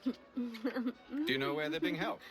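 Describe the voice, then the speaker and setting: An actor playing a composed, commanding gentleman leader in a game drama, speaking formally with dry wit over music. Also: extremely high pitch, animated, full character voice. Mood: inquiring. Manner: formal, measured, wry. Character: composed, commanding gentleman leading a covert band. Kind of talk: video game drama acting.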